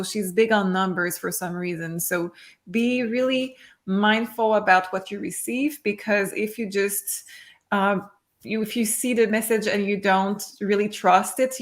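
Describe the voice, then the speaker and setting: Earnest woman giving card readings. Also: medium pitch. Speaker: psychic card reader. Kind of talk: live intuitive reading for viewers.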